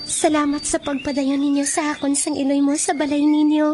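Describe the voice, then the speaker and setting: A woman with a Filipino Hiligaynon accent playing a gentle, sad forest maiden who has turned mortal, in a radio drama. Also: sits high, flat delivery, a little fast, music in the background, close to an everyday voice. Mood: earnest.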